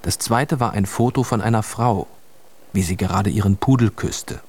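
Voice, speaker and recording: A man's voice: fairly low pitch, moderate pace, slightly rough. A man narrating a scripted children's story as the narrator of a radio play. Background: music.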